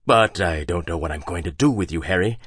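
A male audiobook narrator voicing a stern, manipulative ship captain who speaks quietly and measured. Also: deep voice, husky, dry recording, clean studio sound, pitched below the performer's own voice. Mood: scolding. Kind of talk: audiobook character voice.

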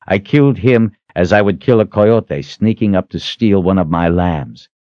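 A man's voice: low voice, normal speed, dry recording, dull audio, natural voice. A man voicing a cold, defiant fantasy hero in an audiobook, taunting and intense. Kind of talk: dramatic audiobook character acting.

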